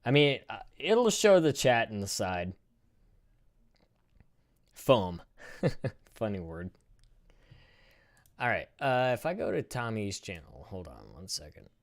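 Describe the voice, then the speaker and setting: A man in his thirties hosting a casual live stream, reacting in a rambling, profane, animated way. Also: lowish voice, slow, pauses often. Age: 30s.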